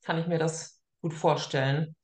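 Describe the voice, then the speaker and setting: A woman's voice: low voice, steady tone, normal speed. A woman interviewing casually and informally, often tagging her sentences with little questions for agreement. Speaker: interviewer, host. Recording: roomy echo on the voice.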